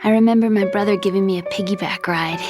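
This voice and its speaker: A woman's voice: medium pitch, steady tone, fast, natural voice. A woman playing an earnest, emotional young woman, a vulnerable younger sister, in a dramatic video game cutscene. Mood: wistful. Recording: loud music under the voice.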